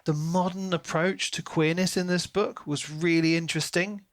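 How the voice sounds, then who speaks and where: A man's voice: a little high, steady tone, normal speed, dry recording. A man co-hosting a podcast, discussing books in a casual, enthusiastic and reflective way. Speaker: podcast co-host.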